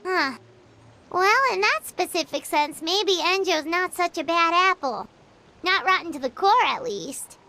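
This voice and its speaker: A woman playing a tiny, childlike, expressive fairy companion in a video game, with a squeaky voice. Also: unhurried, a little hiss or hum, heavily acted. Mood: skeptical. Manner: refers to self in third person, squeaky.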